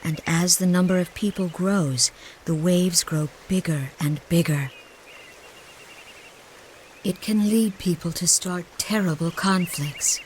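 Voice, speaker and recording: Gentle, wise mother over faint noise. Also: fairly low pitch, takes it slowly. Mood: grave, gentle. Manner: tender, patient, explanatory. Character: gentle, wise mother talking to her young child. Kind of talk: scripted game cutscene dialogue.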